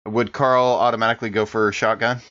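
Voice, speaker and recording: A man with a deep voice improvises his character's actions at a game table. He talks casually, like a player among friends. The recording is a little dull. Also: level tone, fast, fairly bright tone.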